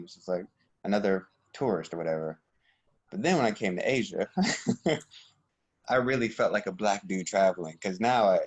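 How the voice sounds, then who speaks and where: A young American man talking as a podcast guest in a relaxed, reflective way, with fillers and restarts. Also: fairly low pitch. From United States. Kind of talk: casual conversation. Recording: dull lo-fi sound.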